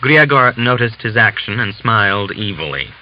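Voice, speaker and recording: A man reading literary narration as an audiobook narrator, in a measured, deliberate storytelling manner. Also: fairly low pitch, big pitch swings. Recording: dull hissy lo-fi recording.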